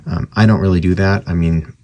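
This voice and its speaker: A man's voice: sits low, fairly even intonation, a little fast, warm tone. A man giving a casual, unscripted repair tutorial in a rambling, conversational, matter-of-fact way. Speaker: radio repair hobbyist presenting a tutorial. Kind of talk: casual unscripted workbench explanation.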